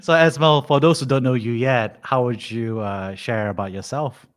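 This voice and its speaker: A man's voice: medium pitch, moderate pace. A man in his thirties hosting a podcast, upbeat and friendly as he introduces an old classmate. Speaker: podcast host. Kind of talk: casual interview hosting.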